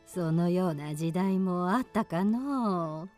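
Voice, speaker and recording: Stern old crone, radio drama, low-pitched, slow, archaic diction, mysterious. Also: female voice, darkish tone, soft background music. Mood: cryptic, calm. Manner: archaic old-woman speech, slow and weighty. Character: centuries-old mysterious old mountain woman, stern, prophetic.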